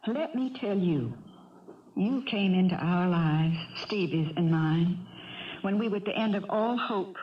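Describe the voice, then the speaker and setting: A woman's voice: on the low side, a little slow, lightly acted. Determined mother, played by a woman, old radio sound. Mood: insistent. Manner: urgent, resolute, formal. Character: determined, desperate mother, middle-aged farm wife. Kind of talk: 1970s radio drama acting. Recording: dull 1970s radio recording.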